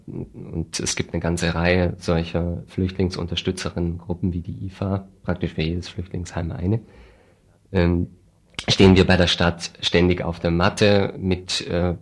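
A man with a deep voice and very flat intonation speaks as an activist interview guest. He explains his points spontaneously and deliberately, with a dry, sardonic, critical edge.

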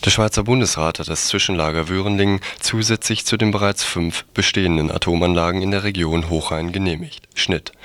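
A man's voice: low-pitched, fairly bright tone, no room sound. A man reading scripted news items on the radio as a news reader.

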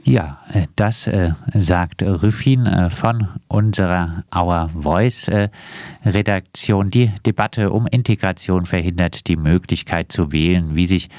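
A man hosting a radio interview in a halting, very hesitant way, with frequent filler pauses. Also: low-pitched, darkish tone, no room sound, studio quality.

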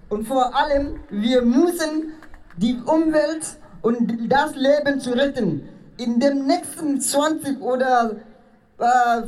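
High-voiced African woman speaking at a rally. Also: darkish tone, rough recording. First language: not German. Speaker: speaker at a climate demonstration. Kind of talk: impassioned public speech at a rally. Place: outdoors at a demonstration. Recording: outdoor rally with faint crowd.

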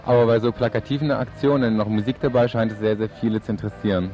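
A man's voice: lowish voice, steady tone, quick pace, soft background music. Young man interviewed on a dull field recording. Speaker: student activist being interviewed. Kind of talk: spontaneous interview answers.

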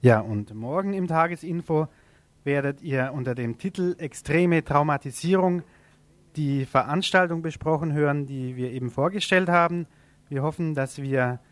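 A man's voice: fairly high pitch, dry recording. A man presenting local event announcements on radio in an informal way, sometimes correcting himself.

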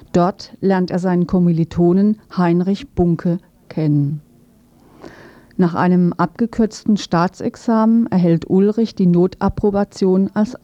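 A woman's voice: fairly low pitch, dark tone. A woman presents a scripted radio news feature, reading it aloud in a sober, matter-of-fact way. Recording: dull, roomy lo-fi radio recording.

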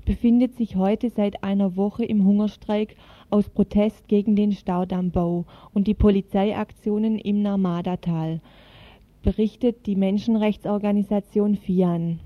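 A woman's voice: medium pitch, fairly even intonation, measured pace, no echo. A woman reading a scripted radio feature in the manner of a news report. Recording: muffled, band-limited radio recording.

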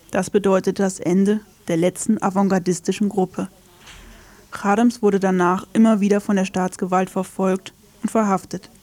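A woman reading a scripted literary radio feature in a measured, storytelling way. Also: mid-range voice, warm tone. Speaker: radio presenter.

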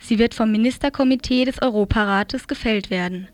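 A woman with a fairly high voice reads a scripted radio news report. The recording is dry, without room sound. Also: brisk, dry recording.

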